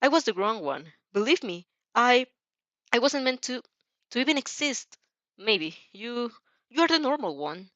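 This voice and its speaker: Heroine read by a woman: kind, hesitant, self-doubting, expressive pitch, dry muffled recording. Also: unhurried, dry recording. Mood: self-doubting, consoling. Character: gentle, apologetic heroine, shaky and self-doubting. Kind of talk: acted story dialogue.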